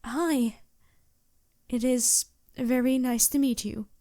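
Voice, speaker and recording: A woman's voice: high-pitched, steady tone, takes it slowly, mellow, dry recording, studio quality. A woman playing a polite, anxious vampire posing as a traveling merchant in a fantasy audio roleplay, speaking hesitantly with small stammers and courteous apologies. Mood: anxious begging. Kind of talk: fantasy roleplay audio drama, addressing the listener.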